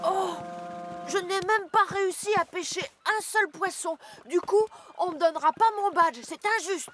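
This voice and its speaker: Very high, whining cartoon child. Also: female voice, moderate pace, slight background noise. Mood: sheepish, whining. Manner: plaintive, exclaiming. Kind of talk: animated cartoon acting in French dub.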